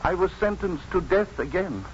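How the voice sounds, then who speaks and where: Halting, deadpan simple ex-convict. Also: male voice, high-pitched. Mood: deadpan, mild. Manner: halting, plain-spoken, deadpan. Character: slow, simple, mild-mannered male ex-convict, earnest storyteller. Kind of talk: 1950s radio drama acting. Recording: muffled, noisy 1950s radio broadcast.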